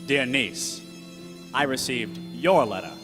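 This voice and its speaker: A man's voice: medium pitch, expressive, slow pace. A man playing a defiant, principled uncle who talks in gangster-era slang in a radio play. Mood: solemn. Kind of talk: old-time gangster radio play acting. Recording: echoey hall with music behind.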